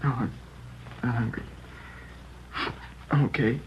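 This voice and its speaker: A man's voice: mid-range voice, steady tone, slight background noise, lightly acted. An actor doing a shaken teenage boy. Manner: halting, upset, voice rising on the worst parts. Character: shaken teenage boy whose mother was killed. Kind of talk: 1950s radio drama acting. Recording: dull lo-fi old radio broadcast.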